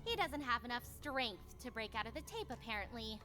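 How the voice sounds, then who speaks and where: Woman as bitter teenage magical girl, visual novel, high-pitched, animated, curt, indignant. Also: fairly quick, over music. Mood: dry, dismissive. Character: angry, bitter teenage magical girl, sharp-tongued and stubborn. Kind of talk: visual novel character acting.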